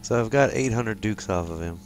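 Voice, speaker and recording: A man's voice: lowish voice, brisk, a little hiss or hum. A man hosting a gameplay video in casual co-op chat. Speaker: host gamer. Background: faint music.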